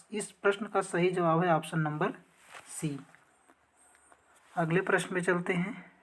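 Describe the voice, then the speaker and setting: A woman teacher explaining practice exam questions and answers in a methodical, instructional way, reading out each option. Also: lowish voice.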